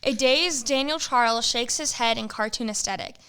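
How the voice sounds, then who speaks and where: Woman narrating in a bright, crisp voice. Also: fairly high pitch, moderate pace. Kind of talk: scripted comedic narration.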